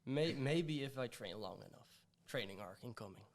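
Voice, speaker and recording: A man's voice: medium pitch, expressive, normal speed. Male co-host, brief wry quips, a little background noise. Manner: short remarks, joking, laughs. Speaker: podcast co-host. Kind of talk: casual gaming podcast chat.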